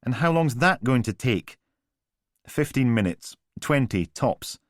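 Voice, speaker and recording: A man's voice: medium pitch, expressive, measured pace, no echo, studio quality, voice pushed up, natural voice. A man voicing a blunt, practical female police officer.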